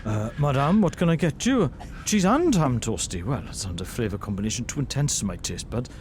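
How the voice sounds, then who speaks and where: A man's voice: medium pitch, sing-song, brisk, a little mellow, slight background noise, close to an everyday voice. A man playing an earnest, easily alarmed detective sidekick who stammers, in a comic audio drama. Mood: flustered, eager. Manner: stammering, flustered.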